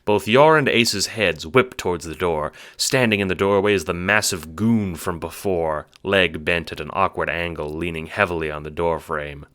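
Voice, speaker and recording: A man's voice: low-pitched, fairly bright tone. A man giving dramatic, scripted storytelling narration for an audio drama.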